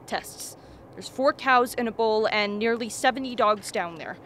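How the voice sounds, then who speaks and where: An actress playing a coolly analytical scientist in a radio play, formal and measured with a dry wit. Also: female voice, on the high side, a bit bright, a little hiss or hum. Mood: cool, detached.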